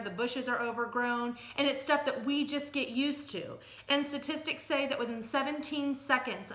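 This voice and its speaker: A woman's voice: high voice, sharp tone. A middle-aged American woman giving casual, folksy advice to camera as a real estate agent, speaking emphatically and plainly. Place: small furnished room at home or office, indoors. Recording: echoey room sound.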